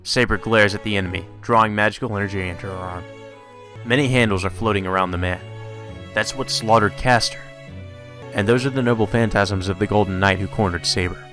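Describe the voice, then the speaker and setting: Male visual novel narrator, low register, dramatic storytelling delivery, faint music underneath. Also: steady tone, brisk. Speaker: let's play narrator reading a visual novel aloud. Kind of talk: dramatic read-aloud narration.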